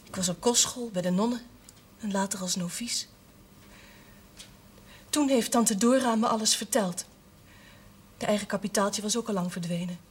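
A woman's voice: medium pitch, normal speed, pauses often, slight background noise, natural voice. An actress playing an earnest young former novice burdened with her family's business, in a television period drama. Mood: earnest, confiding.

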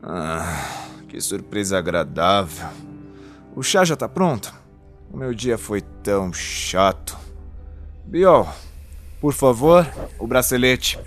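An actor playing a weary, irritable time-traveling hero in an audio drama, with a wry, flippant and dramatic delivery. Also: male voice, lowish voice, big pitch swings, takes it slowly, long pauses, soft background music. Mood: dry, wry. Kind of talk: scripted audio drama acting.

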